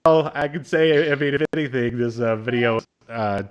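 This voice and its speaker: A man in his thirties co-hosting a podcast in casual chat, with a jokey, halting delivery. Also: medium pitch, clear, resonant tone, lo-fi sound. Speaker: podcast co-host. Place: small room at home, on a video call.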